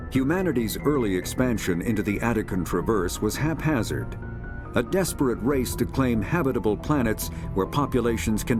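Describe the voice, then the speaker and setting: A man narrating a science fiction game's encyclopedia in a measured, formal, authoritative documentary style. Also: mid-range voice, close to an everyday voice. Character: formal male narrator of a sci-fi codex. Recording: music behind the voice. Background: clear background music.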